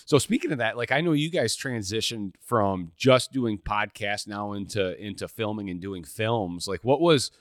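Guy hosting a hunting podcast, kinda low voice, super dry close sound, chill and rambly. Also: normal speed, hardly any pauses, dry recording. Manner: relaxed, chatty, many fillers and restarts. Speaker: podcast host. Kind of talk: casual podcast conversation.

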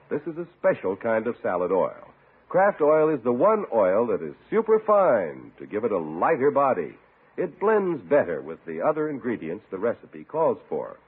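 A man's voice: mid-range voice, slightly rough. A man announcing from a script in 1950s radio style. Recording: narrow-band old radio broadcast recording.

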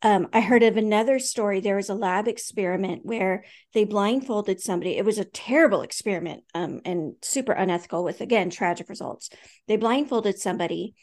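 A woman's voice: mid-range voice, fairly quick, a little mellow. A woman co-hosting a faith podcast in casual conversation, earnest and storytelling, with fillers and restarts. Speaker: podcast co-host.

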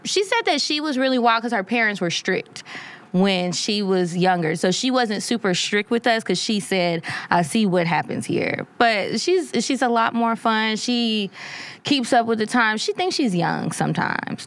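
A woman from Louisiana talks in a relaxed podcast chat, telling stories with lively energy. Her voice sits at an ordinary middle pitch. She jumps into anecdotes with ease. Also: unhurried. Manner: animated storyteller. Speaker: podcast co-host. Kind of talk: casual chat.

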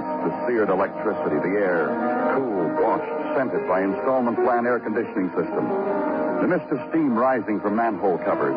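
An American man playing a world-weary police detective, speaking in a brooding, deliberate, poetic noir manner in an old radio crime drama. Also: fairly low pitch, natural voice. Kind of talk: 1940s-50s radio crime drama acting. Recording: muffled old radio recording, loud music.

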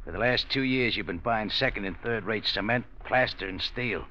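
A ruthless radio-play gangster, sly and menacing in slangy American talk. His voice is low, gravelly and sharp-edged. The sound is that of a muffled old radio recording. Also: normal speed. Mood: smug, needling. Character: ruthless, smug male gangster making a shakedown. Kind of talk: 1940s radio crime acting.

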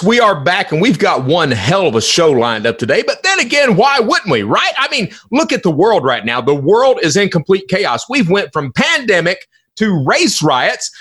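A man's voice: high voice, pushed and bright, husky. A middle-aged man delivering an informal solo podcast monologue as host, in a theatrical, folksy and emphatic manner with rhetorical questions.

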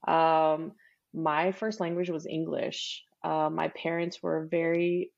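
A woman in her forties from Texas chats freely as a podcast guest, laughing often and trailing into fillers. Her voice sits low with a gravelly fry. The recording sounds slightly dull. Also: level tone, slow, darkish tone. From Texas, USA. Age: 40s. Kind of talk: casual interview chat.

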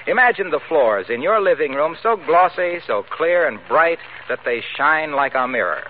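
A man's voice: on the high side, lively intonation, normal speed. A man with an American accent giving a polished, persuasive scripted announcement in old-time radio style. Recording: tinny, noisy old radio broadcast.

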